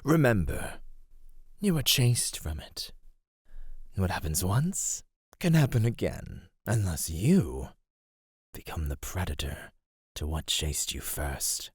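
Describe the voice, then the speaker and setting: A menacing serpentine monster toys with its prey in an ASMR roleplay. The voice is very deep and breathy, with lively swoops in pitch. It is theatrical, teasing and full of condescension. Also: male voice, no room sound, clean studio sound, heavily acted. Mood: teasing, probing. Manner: slow, deliberate, theatrical, condescending.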